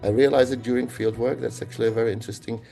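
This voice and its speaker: A man from the Czech Republic with a Czech accent, speaking English as a guest in an interview, reflective and halting with frequent pauses. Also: mid-range voice, steady tone, creaky, music in the background. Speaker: guest linguist. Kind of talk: conversational interview answers.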